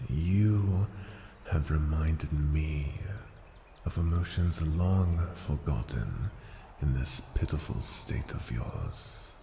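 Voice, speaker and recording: An ancient dragon, played by a man, speaks in a very deep, gravelly voice, drawing out each phrase extremely slowly. He is haughty and possessive, tenderly menacing in a formal, intimate fantasy ASMR roleplay, often sinking toward a breathy whisper. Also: warm tone, echoey room, slight background noise, heavily acted. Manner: slow, deliberate, formal, condescending, often dropping to a breathy whisper.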